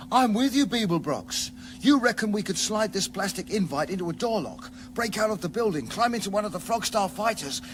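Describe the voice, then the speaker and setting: An actor playing a gruff, world-weary, sardonic space traveller who answers grudgingly in a radio comedy. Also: male voice, sits high, fairly bright tone, a little hiss or hum. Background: music.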